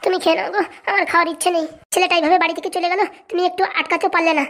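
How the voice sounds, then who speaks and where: A woman's voice: extremely high pitch, steady tone, rapid-fire, fairly bright tone. A woman voicing a worried, stubborn mother in a cartoon family drama, pleading and reproachful. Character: worried, stubborn mother pining for her son.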